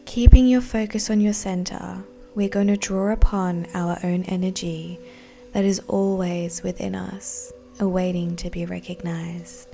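A woman guiding a meditation in a soothing, deliberate, breathy voice that often nears a whisper. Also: lowish voice, little pitch movement. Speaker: meditation guide. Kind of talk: guided meditation narration. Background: soft background music.

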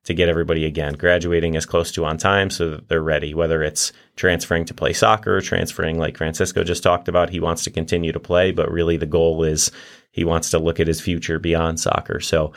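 A middle-aged man, a college soccer coach, answering interview questions in a campus studio, measured and steady with many fillers. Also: low voice.

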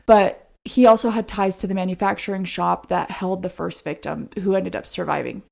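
A woman's voice: on the low side, steady tone, normal speed, vocal fry, a little mellow. A woman co-hosting a true crime podcast, telling a case in an earnest, explanatory, conversational way.

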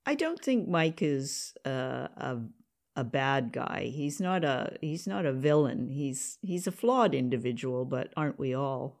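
A woman with a low-pitched voice hosts a podcast interview. She talks conversationally, introducing and questioning her guest. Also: animated, measured pace, creaky. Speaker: podcast host, writer and producer. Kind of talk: interview hosting.

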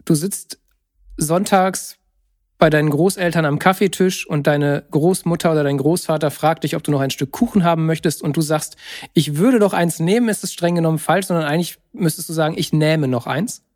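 A man's voice: on the high side, steady tone, fairly quick. A man co-hosting a podcast in casual conversation. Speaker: podcast co-host.

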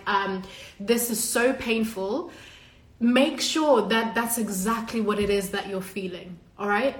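A woman from Zimbabwe whose first language is Shona, giving a casual, earnest and emphatic motivational talk to a live online audience, swearing freely as a coach would among friends. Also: medium pitch. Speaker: live-stream host and coach. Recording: echoey, reverberant room.

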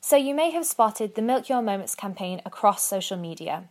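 A woman's voice: mid-range voice, sing-song, normal speed. A woman reporter reading a scripted feature in an upbeat, promotional manner. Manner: bright, upbeat promotional read.

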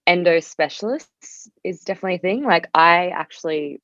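A woman's voice: fairly low pitch, normal speed, a bit bright, no echo, dull audio. A young Australian woman speaking as a podcast guest in a casual, candid way, rambling and often saying "like". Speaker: podcast guest, singer-songwriter. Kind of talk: casual conversational interview.

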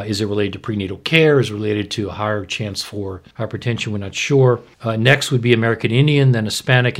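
A man explaining medical matters in a conversational, plain-spoken way as a podcast host and expert. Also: on the low side. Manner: explanatory, plain-spoken, asks and answers own questions.

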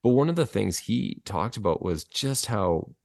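A man's voice: lowish voice, lively intonation, moderate pace, gravelly, darkish tone, dry recording, studio quality. A man co-hosting a podcast, chatting about films in a rambling, colloquial way. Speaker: podcast co-host. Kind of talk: casual film chat.

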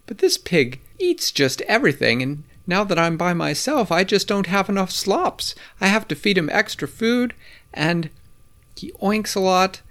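A gentle old woman who chats about her pets in a raised, mild voice, put on by a male narrator. She sounds lonely and a bit wistful, talking slowly like a storybook granny. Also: high voice, voice pushed up, exaggerated voice.

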